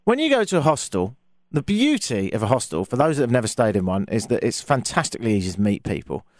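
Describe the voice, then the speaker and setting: A British man hosting an interview, chatty and animated, acting out little dialogues as he tells stories. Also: mid-range voice, fast talker, no echo, clean studio sound. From United Kingdom. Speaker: host. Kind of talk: casual interview.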